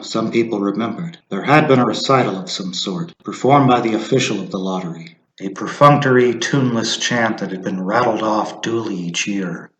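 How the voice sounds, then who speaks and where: A man narrating a short story as a dramatic reading, measured and deliberate. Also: fairly low pitch, a bit bright, slightly rough, rough recording.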